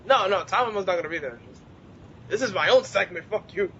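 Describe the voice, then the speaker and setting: Young male co-host, very high voice, giggly self-mocking banter, dull lo-fi voice-chat recording. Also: animated, brisk, pushed and bright. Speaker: podcast co-host and amateur comedy writer. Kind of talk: casual group banter. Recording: dull lo-fi voice chat, noisy rumble.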